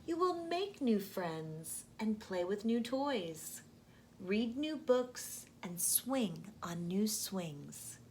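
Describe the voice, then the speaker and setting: A woman voicing a loving, reassuring mother raccoon in a children's storybook, speaking gently and soothingly. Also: medium pitch, very animated, extremely slow, a little hiss or hum. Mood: gentle, reassuring. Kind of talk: children's storybook read-aloud.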